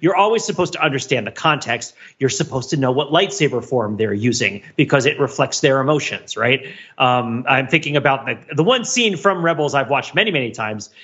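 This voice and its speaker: Man, bright forceful voice, lively swooping intonation, rambling analytical podcast chat, slightly dull recording. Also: mid-range voice, a little fast, hardly any pauses. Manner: rambling, analytical, self-interrupting. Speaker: podcast co-host. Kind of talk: casual pop-culture discussion.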